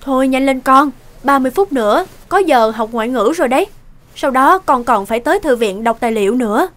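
A woman voicing a doting but stubborn, pushy mother in a cartoon, insistent and brisk. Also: high-pitched, expressive, a bit bright. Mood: doting, urging. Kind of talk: Vietnamese cartoon dubbing.

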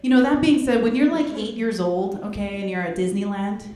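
A woman's voice: medium pitch, a little fast. A young woman performing a stand-up comedy set on a club stage into a handheld mic, laughing between jokes. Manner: animated, laughing between jokes, puts on voices for bits. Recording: roomy club with crowd laughter. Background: audience laughing.

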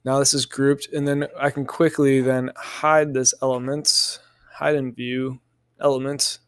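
A man narrating a software tutorial in a casual, informal way, thinking aloud step by step as an instructor. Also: medium pitch, flat delivery, unhurried, clear, resonant tone, dry recording.

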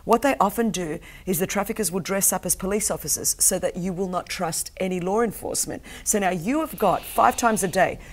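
A woman's voice: medium pitch, fairly quick, hardly any pauses, slight background noise. A middle-aged woman whose first language is Greek, telling an intense story as a guest on a studio talk show, gesturing emphatically. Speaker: guest, anti-trafficking campaigner. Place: TV studio set with sofas.

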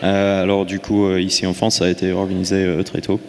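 A man's voice: low voice, completely flat delivery, fairly quick, a little mellow, a little hiss or hum. A man with an Australian accent, speaking as a panelist on a conference stage with many hesitant fillers. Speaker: studio head, panelist. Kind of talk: panel discussion. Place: conference stage in a large hall. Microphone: handheld microphone. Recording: reverberant hall, faint ambience.

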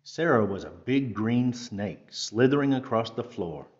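A man reading a children's picture book aloud with measured, careful phrasing. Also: mid-range voice, animated, muffled recording, soft background music. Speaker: storytime reader.

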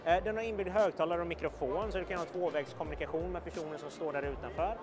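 A man's voice: fairly high pitch, steady tone, over music. A young man giving an informal, explanatory product demonstration to camera, as a video presenter. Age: young adult. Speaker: video presenter for an electronics retailer. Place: indoor trade fair booth.

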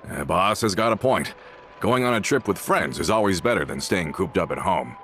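A polite loyal gang retainer in a game, low voice that moves around a lot, music behind. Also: male voice, expressive, fairly bright tone. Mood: amiable. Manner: polite, formal, measured wording. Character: courteous, loyal adult male henchman to a lady boss. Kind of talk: video game character acting.

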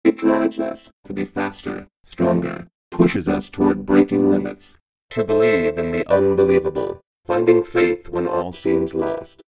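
A man's voice: low-pitched, measured pace, creaky, loud music behind the voice, poor audio. A man reading a dramatic motivational voice-over through a robotic synth vocoder. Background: synthesizer tones.

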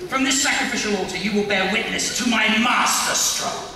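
An actor playing a grandiose, pompous villain bent on cleansing the world, at a live table read. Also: male voice, high-pitched, a loud crowd, close to an everyday voice. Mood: grandiose, menacing. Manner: pompous, monologuing, drawn-out delivery. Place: large convention hall panel stage. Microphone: table microphones, recorded by distant camera. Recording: echoey hall from far off, loud noise.

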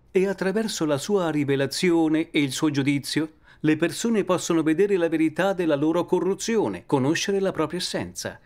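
A middle-aged man preaching a sermon, seated at a desk in a study with bookshelves, explaining in a calm, earnest way. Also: fairly high pitch.

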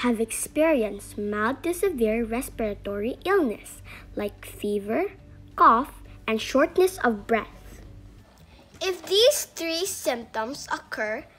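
A woman voicing a calm, reassuring doctor puppet, explaining carefully in a scripted children's educational show. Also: high-pitched, heavily acted, rough recording.

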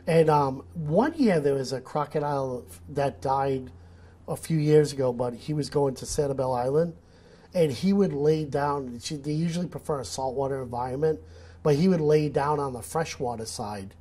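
A man's voice: mid-range voice, normal speed, mellow, husky. A man in his forties with a New England accent, a nature photographer talking as a guest on a studio interview, explaining with lots of restarts. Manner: gestures a lot, explains eagerly with restarts. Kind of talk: casual interview talk. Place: studio set. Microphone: lapel.

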